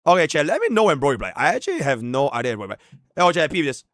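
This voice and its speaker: Excitable slangy male streamer. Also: fairly high pitch, very fast, no room sound, studio quality. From Singapore. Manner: excitable, slangy, swings between hyped and mumbled. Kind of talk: casual live-stream chat.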